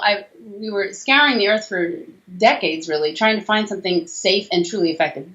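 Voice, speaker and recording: A woman in her forties speaking as a doctor in a conversational interview, talkative and emphatic, listing details over a video call. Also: mid-range voice. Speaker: doctor and author, interview guest. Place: small room indoors, video call. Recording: slightly dull video-call audio.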